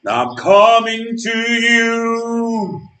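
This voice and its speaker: A man's voice: very high voice, animated, bright, forceful tone, heavily acted. A man on stage playing a troubled, remorseful believer who prays and pleads earnestly in a gospel play. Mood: remorseful, pleading. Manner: earnest, pleading, drawn-out delivery. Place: stage in a large room. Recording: roomy, echoing stage, distant microphone.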